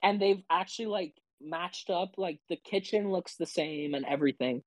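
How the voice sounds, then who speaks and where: A young woman co-hosting a podcast, rambling casually in quick bursts full of fillers. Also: lowish voice, crowd noise, poor audio. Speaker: podcast co-host. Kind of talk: casual chat.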